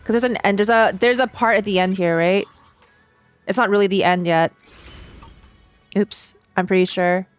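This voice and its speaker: A woman's voice: medium pitch, steady tone, normal speed, pauses often, clean tone, faint music behind. A woman streamer giving casual reaction commentary, thinking aloud and repeating herself in a chatty way. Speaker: streamer reacting to a game story.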